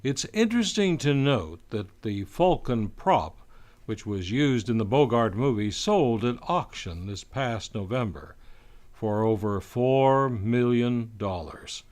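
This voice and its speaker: A man's voice: medium pitch, animated, slow pace, darkish tone. A man hosting an old-time radio hour, reading scripted announcements in a formal, theatrical showman's delivery. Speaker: radio show host and announcer. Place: radio studio.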